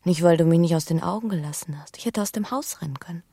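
An actress playing an earnest, intimate young pregnant woman in a German radio drama, speaking in breathy, confiding, deliberate phrases. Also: on the low side, warm tone, dry recording, clean studio sound. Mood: hushed confession. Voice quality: breathy, often sinking to a whisper.

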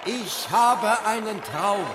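An actor playing an impassioned civil rights preacher giving a deliberate, declamatory speech in a radio drama. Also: male voice, high voice, lively intonation. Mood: fervent, solemn. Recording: loud crowd and noise behind.

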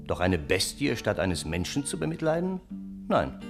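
A flustered gentleman of old Russia in a radio play. His voice sits fairly low, with clear music around it. He recounts his troubles earnestly, in literary phrasing, as a loyal and aggrieved friend. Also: sing-song, normal speed. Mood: earnest, troubled. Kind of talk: radio play narration and dialogue.

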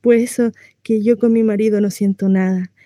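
A woman's voice: medium pitch, steady tone, moderate pace, mellow, dry recording, studio quality, lightly acted. A woman acting a chatty, naive, mistreated housewife in a comic stage monologue, confessional, rambling and often interrupting herself. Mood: embarrassed, comic. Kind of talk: theatrical comic monologue addressed to a listener.

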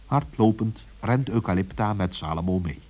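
A man narrating a scripted children's story in an expressive storytelling manner. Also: lowish voice, normal speed.

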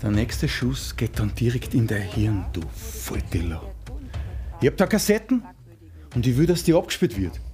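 An actor playing an agitated working-class gunman in a radio drama, gruff and threatening, with a Viennese Austrian accent. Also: mid-range voice, very animated, normal speed, music in the background, lightly acted. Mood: threatening, impatient. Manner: threatening, gruff, sarcastically polite, coarse.

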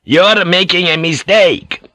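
A man's voice: medium pitch, moderate pace, dry recording, pitched above the performer's own voice, lightly acted. Man acting a proud, hot-tempered Portuguese captain-general. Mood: furious. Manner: heated, forceful delivery.

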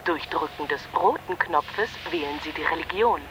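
Eine Frau spricht in einem Hörspiel die förmliche, besänftigende Ansagestimme eines Gebetsautomaten, gemessen und werbend. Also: female voice, deep voice, noisy background, lightly acted. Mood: polite, instructional. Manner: formal, measured, promotional. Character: soothing, formal automated prayer-booth machine voice. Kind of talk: recorded menu announcement in radio drama.

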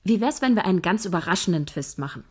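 An actress playing a shy young woman scriptwriter who narrates her story and eagerly pitches plot twists in a radio play. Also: expressive, fast, a little mellow, breathy edge. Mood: telling.

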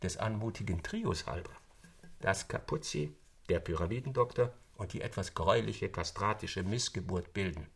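A playful, bossy gentleman in a literary radio drama. His voice is on the low side and husky. He hands out directions to his friends in mannered language. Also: normal speed, heavily acted.

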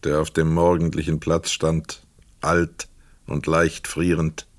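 A man reading scripted literary narration for a radio play in a measured, precise storytelling manner. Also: rumbling low, completely flat delivery, no room sound.

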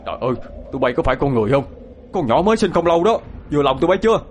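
A man's voice: on the low side, a little fast, a little mellow, slight background noise, lightly acted. A man voicing a middle-aged village uncle who appeals persuasively to the crowd. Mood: outraged.

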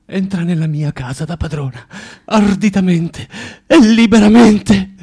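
A man's voice: high voice, measured pace, darkish tone, husky, full character voice. An actor playing a bitter, tormented man in a radio drama, swinging between menacing mutters and dramatic outbursts. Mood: tender, feverish. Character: bitter, tormented man, cruel then self-loathing. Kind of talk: theatrical radio drama acting.

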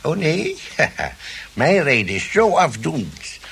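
An actor playing a fussy, prickly gentleman in a radio play, hesitant and repetitive, mock-polite and quick to take offence. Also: fairly high pitch, very animated, vocal fry, heavily acted. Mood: indignant, boastful. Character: fussy, prickly gentleman suitor, cognac lover turned rival. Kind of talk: Dutch radio play acting. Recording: noisy old radio play recording.

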